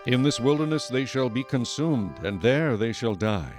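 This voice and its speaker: A man playing a stern, majestic God in a dramatized scripture recording, solemn, authoritative and deliberate. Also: medium pitch, animated, lightly acted. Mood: stern judgement. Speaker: narrator and voice of the Lord. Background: music.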